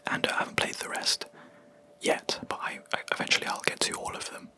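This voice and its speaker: A young adult video creator, whispering a rambling, hesitant gaming chat close to the mic in a quiet room. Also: brisk. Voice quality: soft unvoiced whisper throughout. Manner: rambling, hesitant, with many ums and self-corrections.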